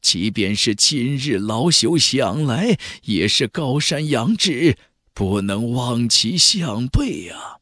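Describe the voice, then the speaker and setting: A man's voice: medium pitch, sing-song, a bit bright, dry recording, full character voice. A man voicing an ancient clan elder in a fantasy audiobook, reverent and sorrowful, telling of the past in a dreamy, archaic manner. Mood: awestruck. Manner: slow, dreamy, archaic storytelling. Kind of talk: audiobook character voice in a fantasy novel.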